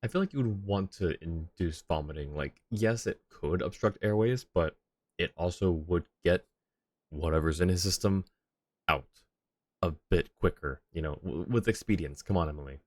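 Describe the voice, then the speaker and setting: Very low-voiced man streaming a game. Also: moderate pace, a little mellow, no echo. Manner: wry, rambling asides. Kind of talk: casual live gameplay commentary, reading dialogue aloud. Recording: game music behind the voice.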